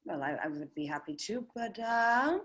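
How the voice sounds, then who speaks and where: A woman acting a glamorous, quick-witted 1940s scientist in a table read, poised and knowing. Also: mid-range voice, lively intonation, a bit bright, natural voice. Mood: coy, chatty.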